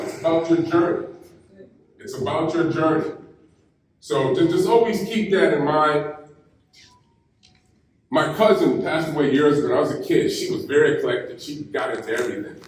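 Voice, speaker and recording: A man giving a graduation keynote, telling stories in a slow, deliberate way. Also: a little high, darkish tone, a little hiss or hum. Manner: storytelling, slow deliberate delivery. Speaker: keynote speaker. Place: university ceremony hall. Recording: echoey hall, mic heard from a distance.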